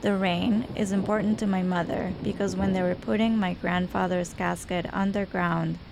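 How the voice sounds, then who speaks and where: A woman from Colombia, narrating a personal radio story in a calm, evocative way. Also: medium pitch, normal speed, gravelly, background noise.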